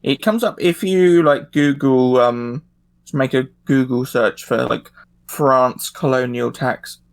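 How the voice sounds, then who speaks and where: A man on a casual podcast panel, explaining things in a halting, tentative way. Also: mid-range voice. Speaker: discussion panelist.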